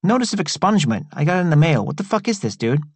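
A man voicing a brash, foul-mouthed younger man who talks in an excitable, rambling way. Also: fairly high pitch, dry recording, pitched above the performer's own voice. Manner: profane, rambling, loud and quick.

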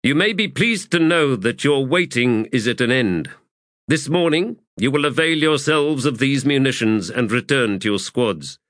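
A man's voice: medium pitch, lively intonation, normal speed, fairly bright tone, no echo, clean studio sound, voice pushed up, close to an everyday voice. A man voicing a commanding, exasperated army commander in a formal, clipped audiobook performance. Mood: brisk command. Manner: formal, clipped, authoritative oratory. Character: commanding, exasperated male army commander addressing his troops. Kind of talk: audiobook character acting.

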